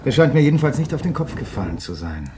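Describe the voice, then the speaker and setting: An actor playing an inquisitive, persistent crime writer in a radio drama, brisk and questioning. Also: male voice, medium pitch, sing-song, noisy background, lightly acted. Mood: dry, probing. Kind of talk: German radio drama acting, conversational.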